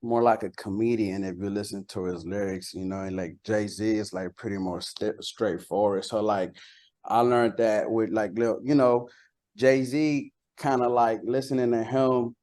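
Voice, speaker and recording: A man's voice: lowish voice, fairly even intonation, unhurried, darkish tone. Casual, colloquial man from Costa Rica. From San Jose, Costa Rica. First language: Spanish. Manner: colloquial, peppered with "like" and "you know". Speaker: interview guest, bilingual rapper and songwriter. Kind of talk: casual interview chat.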